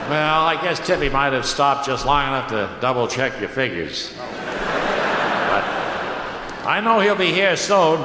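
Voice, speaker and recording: A man's voice: mid-range voice, expressive, unhurried, pauses often, close to an everyday voice. A man playing an earnest, gushing little boy with a wholesome gee-whiz manner in an old-time radio comedy. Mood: earnest, hopeful. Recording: echoey, noisy old radio recording.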